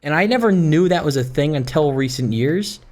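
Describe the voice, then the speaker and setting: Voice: medium pitch, expressive, moderate pace, a little mellow. A person giving rambling, casual gaming commentary, musing and grumbling in an informal way. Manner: informal, musing, grumbling about small gripes. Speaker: gaming video host. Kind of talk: rambling casual Minecraft let's-play commentary.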